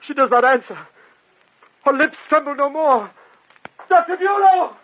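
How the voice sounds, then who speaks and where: A haunted, anguished husband from a gothic radio play. His voice is high and swings widely as he pleads with theatrical fervor. The recording is dull and lo-fi. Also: expressive, a little slow, slight background noise. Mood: distraught. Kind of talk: gothic radio drama acting.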